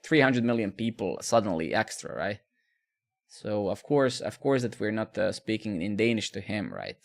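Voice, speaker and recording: Gravelly man chatting casually. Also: mid-range voice. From Serbia. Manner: rambling, repeats words, swears casually. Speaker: live coding streamer. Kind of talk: casual chat with stream viewers.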